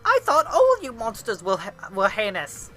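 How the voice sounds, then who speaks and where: A person voicing an excitable, wisecracking young hero in a storybook, with exclamatory delivery. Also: normal speed, faint music behind. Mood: excited. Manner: high-pitched exclamatory delivery.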